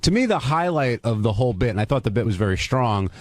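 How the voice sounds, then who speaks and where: A man's voice: mid-range voice, sing-song, quick pace, no room sound. A man hosting a radio talk show, steering casual banter with questions and comments. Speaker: radio host.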